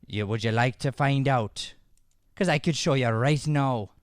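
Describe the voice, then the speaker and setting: A man's voice: medium pitch, measured pace, no room sound, studio quality, natural voice. A man playing a stern, pompous but forgiving schoolteacher with an Irish accent, scolding emphatically in improvised radio comedy.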